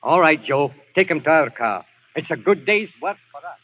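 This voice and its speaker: An actor playing a menacing, bullying policeman in a 1940s radio drama, gruff and domineering. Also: fairly high pitch, expressive, normal speed. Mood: gloating, curt. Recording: dull, faintly noisy vintage radio recording.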